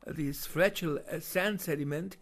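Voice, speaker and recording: A man with a German accent giving unscripted voice-over commentary on video footage, halting with many fillers and restarts. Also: fairly high pitch, fairly bright tone.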